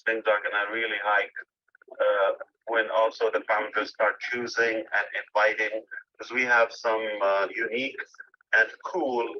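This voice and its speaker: A man giving an informal update to members as a company leader on a group call, speaking haltingly with pauses mid-phrase. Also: on the low side, slight background noise. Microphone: phone or internet call line. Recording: dull lo-fi phone call audio.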